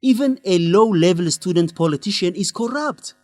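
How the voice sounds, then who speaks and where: An indignant young male student in a radio drama. His pitch is high and swings widely, and the recording is dry. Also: expressive, moderate pace, darkish tone, dry recording. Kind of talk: scripted radio drama acting.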